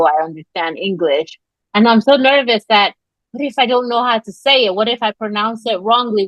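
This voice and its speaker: A woman's voice: medium pitch, fairly bright tone, no room sound, poor audio. A woman hosting a podcast who asks rambling, casual questions with many fillers. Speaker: podcast host. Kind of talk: podcast interview.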